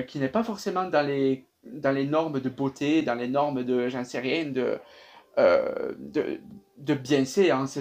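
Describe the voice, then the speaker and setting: A man's voice: on the high side, a little mellow. A young man in his twenties with a sing-song southern French accent, chatting upbeat in a casual vlog with lots of fillers. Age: 20s. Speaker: YouTube reaction creator.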